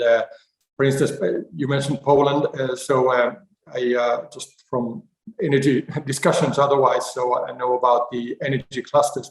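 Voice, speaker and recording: A man from Finland with a Finnish accent, giving spontaneous conference remarks in a halting way with repeated words. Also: medium pitch. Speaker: conference speaker, climate initiative representative. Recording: echoey room.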